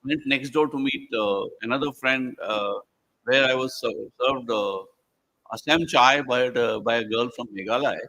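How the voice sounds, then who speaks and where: A man with an Indian accent moderates a live discussion in a conversational, anecdotal style. His pitch is middling, and he wanders into lists and asides, often halting as he goes. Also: bright, forceful tone, poor audio. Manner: digressive, halting, fond of lists. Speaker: moderator of a book discussion panel. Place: live event before a small audience.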